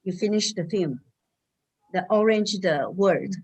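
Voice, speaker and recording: A woman moderating an online panel, asking a question in halting speech with many restarts. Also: mid-range voice, darkish tone, slightly rough, low-quality recording. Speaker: webinar moderator.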